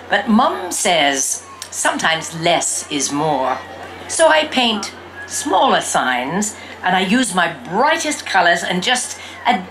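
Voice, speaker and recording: A woman reading a storybook in the first person as an exuberant young girl who fancies herself a fairy princess, with theatrical, drawn-out emphasis. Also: medium pitch, a bit bright, a little hiss or hum, low-quality recording.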